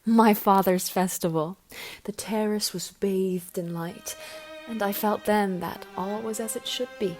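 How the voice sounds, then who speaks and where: A woman playing a devoted, gently teasing young noblewoman, formal and romantic, in an amateur period audio drama. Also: mid-range voice, fairly even intonation, unhurried, music in the background, lightly acted. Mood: tender.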